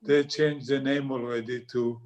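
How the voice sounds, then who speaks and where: A man's voice: mid-range voice, level tone, normal speed, darkish tone, slight background noise. A man with an Israeli accent, a book club member sharing his family's history earnestly, searching for words. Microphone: video call.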